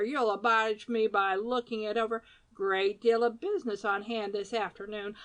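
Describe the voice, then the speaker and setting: A woman reading an audiobook, voicing a brash, nosy gentleman who talks in clipped, chirpy phrases. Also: fairly high pitch, voice pushed up, rough recording. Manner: clipped, chirpy phrases dropping their subjects. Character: brash chatty middle-aged gentleman, jaunty and nosy. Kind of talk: audiobook character voice.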